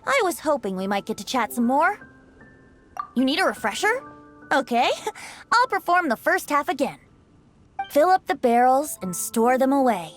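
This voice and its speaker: A young male bard in a video game, theatrical and playful. The voice is high and swoops expressively, with a poetic lilt. Faint music sits behind it. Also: big pitch swings, moderate pace, pauses often, a bit bright.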